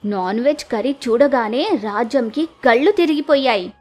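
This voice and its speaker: A woman's voice: on the high side, normal speed, a little mellow. A woman storyteller narrating a scripted village moral story. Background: music.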